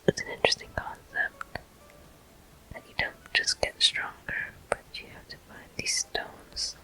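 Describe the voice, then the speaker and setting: A person whispering unhurried ASMR commentary as the host of a video game walkthrough, in a soft, breathy, unvoiced voice. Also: poor audio.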